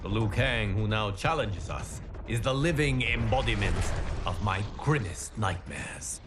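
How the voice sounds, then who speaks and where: Slow, solemn guardian god. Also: male voice, fairly low pitch, animated, background noise. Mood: grave, resolute. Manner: measured, grave, formal diction. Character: solemn, wise male guardian god narrating grim tales. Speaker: narrator character in a fighting game. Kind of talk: solemn scripted game narration.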